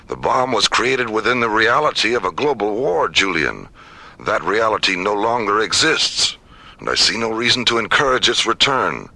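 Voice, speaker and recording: An actor playing a principled science director, speaking firmly and articulately in a science fiction radio drama. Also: male voice, on the low side, big pitch swings, pushed and bright, heavily acted. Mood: firm, challenging. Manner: measured, firm, articulate. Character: principled, firm male science director opposing weapons.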